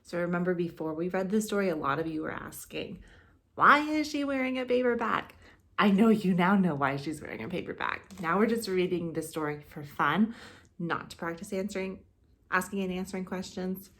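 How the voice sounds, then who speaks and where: A woman in her thirties, a kindergarten teacher, reading aloud to young children with simple explanations and expressive storytelling. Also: fairly low pitch, a little fast. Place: living room, indoors.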